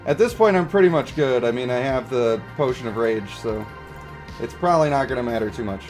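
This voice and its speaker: A man's voice: medium pitch, animated, fairly quick. A man hosting a game livestream, chatting casually and explaining his views to viewers in a conversational way. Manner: conversational, explanatory, checks for agreement. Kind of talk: casual livestream chat, reading and answering viewer comments. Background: music.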